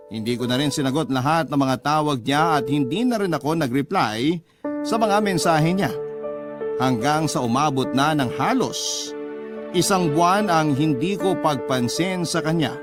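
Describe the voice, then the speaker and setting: A Filipino man narrates a scripted first-person story as a radio host. His voice is a little high for a man. Music plays clearly beneath the narration. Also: animated, moderate pace. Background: music under the narration.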